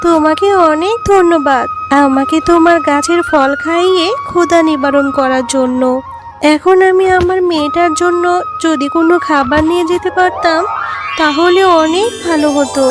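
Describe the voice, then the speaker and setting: A woman voicing a poor, gentle jackal mother in a children's cartoon, with an earnest, plaintive manner. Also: very high-pitched, normal speed, music in the background. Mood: grateful, pleading.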